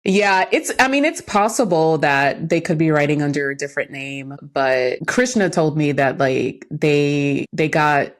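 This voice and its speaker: A woman's voice: sits low, measured pace, few pauses. A woman co-hosting a podcast in casual, candid conversation, telling stories effusively with frequent asides. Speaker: podcast co-host.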